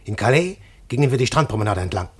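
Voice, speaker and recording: An actor playing a witty, determined poet-detective in a radio play, speaking formally and theatrically with an ironic edge. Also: male voice, medium pitch, lively intonation, fast, close to an everyday voice. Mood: tense narrating. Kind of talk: radio play acting and narration.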